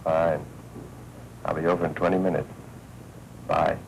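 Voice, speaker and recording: An actor playing a wry, shrewd newspaper editor with dry, clipped banter in a 1940s crime film. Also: male voice, rumbling low, flat delivery, measured pace, creaky, heavily acted. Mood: casual. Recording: noisy 1940s film soundtrack.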